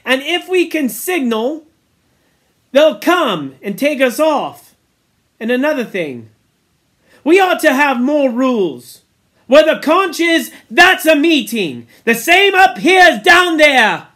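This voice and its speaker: A man's voice: high-pitched, sing-song, normal speed. A man reading aloud and voicing a boy who leads a group of stranded schoolboys, calling out commands and speaking earnestly about rescue and rules. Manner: loud, urgent calls.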